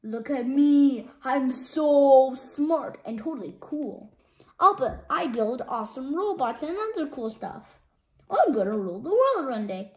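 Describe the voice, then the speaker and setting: A woman's voice: sits high, expressive, unhurried, reverberant, rough recording. A woman voicing a boastful, scheming male villain cat in a bombastic, theatrical manner for a storybook.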